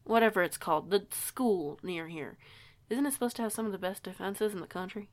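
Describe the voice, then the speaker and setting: A woman's voice: mid-range voice, fairly quick, no room sound, close to an everyday voice. A woman playing a flustered, curious young newcomer in an audio roleplay, with a hesitant, rambling way of talking. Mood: puzzled, concerned. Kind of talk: audio roleplay acting, casual dialogue.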